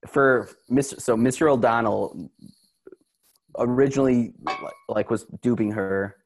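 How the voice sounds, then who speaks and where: A young man co-hosting a casual podcast over a video call, talking in halting restarts with crude jokes. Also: mid-range voice, a little hiss or hum, poor audio. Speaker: podcast co-host. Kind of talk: casual chat about a movie.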